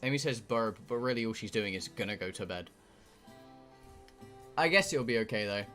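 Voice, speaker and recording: A man's voice: on the low side, lively intonation, normal speed, fairly bright tone, soft background music. A British man live streaming a game, rambling casually to his viewers in a blunt, sweary, joking way. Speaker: live streamer playing a visual novel.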